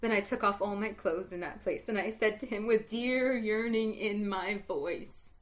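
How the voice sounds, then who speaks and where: A woman reading a story aloud as narrator, in a slow, expressive telling that builds to exultant emotion. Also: medium pitch.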